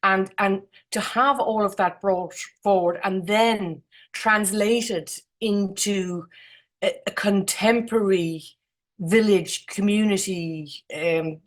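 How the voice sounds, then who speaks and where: A woman's voice: medium pitch, steady tone, takes it slowly. A woman with an Irish accent giving informal, effusive remarks as a jury member, often repeating words as she goes. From Ireland. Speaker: competition jury member, architect. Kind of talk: informal remarks at an online awards gathering.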